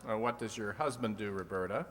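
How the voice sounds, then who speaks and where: A man's voice: fairly low pitch, a little fast, close to an everyday voice. A man playing a sly, teasing host of an old-time radio quiz show, full of dry wisecracks. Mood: wry. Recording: long hall reverb.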